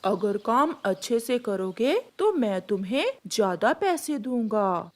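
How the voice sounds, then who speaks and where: A woman's voice: medium pitch, big pitch swings, slow pace, darkish tone. A woman voicing a stern pigeon uncle who runs a tea stall in a cartoon story, firm and bossy. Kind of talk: Hindi animated moral-story acting.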